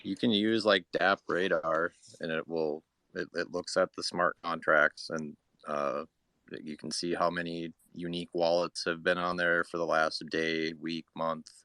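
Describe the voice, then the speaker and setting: A man explaining things in a halting, matter-of-fact way in a casual live audio chat. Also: deep voice, little pitch movement, pushed and bright, no echo. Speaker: participant reporting figures.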